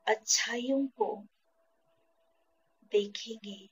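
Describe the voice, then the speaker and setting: A woman from India guiding a meditation, in short, measured phrases with pauses between them. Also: on the high side, fairly even intonation, a little hiss or hum. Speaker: guided meditation teacher. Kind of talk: slow guided meditation. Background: background music.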